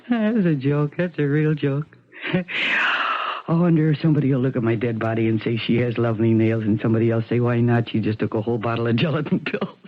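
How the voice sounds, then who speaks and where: Low-voiced, despairing American actress, tinny radio. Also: female voice, fairly quick. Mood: bitterly amused. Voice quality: low-pitched voice. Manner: rambling, wry, self-interrupting. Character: despairing, self-mocking actress, wife and mother, rambling tipsily alone. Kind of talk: 1970s radio drama monologue. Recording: tinny, band-limited 1970s radio recording.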